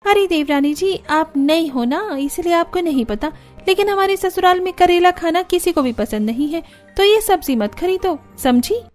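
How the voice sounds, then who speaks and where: A bossy young sister-in-law from a cartoon tale, played by a woman. Her voice is very high, with a taunting, condescending edge over soft background music. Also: animated, moderate pace. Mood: mocking. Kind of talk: cartoon story character voice.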